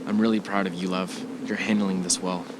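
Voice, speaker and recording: A man's voice: sits low, level tone, fairly quick, close to an everyday voice. A man playing a worried but supportive husband in an amateur audio drama, hesitant and reassuring. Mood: subdued, tender. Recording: clearly noisy amateur recording.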